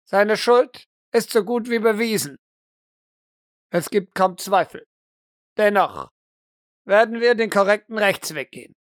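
A woman voicing a stern, formal court official in an audio roleplay, speaking in a measured, commanding way. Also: medium pitch, lively intonation, dry recording, studio quality, natural voice. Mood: stern, grave.

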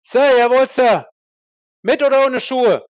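An eager army recruit played by a man snaps out clipped replies, pitched far up. He sounds stiff and obedient in a military role-play audio drama, with a dry close recording. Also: a little slow, clean tone, dry recording. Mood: dutiful, tense.

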